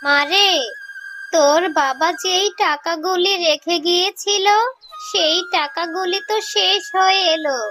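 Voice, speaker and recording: A woman's voice: extremely high pitch, unhurried, over music. A woman voicing a caring, grieving widowed mother fox in a children's cartoon, with plaintive, pleading delivery. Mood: worried, tender.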